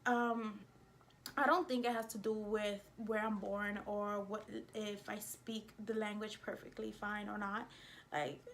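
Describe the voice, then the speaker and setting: A woman with a New York accent talking casually to camera as a vlogger, in an emphatic, indignant and expressive way. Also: medium pitch, measured pace, slight background noise. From United States.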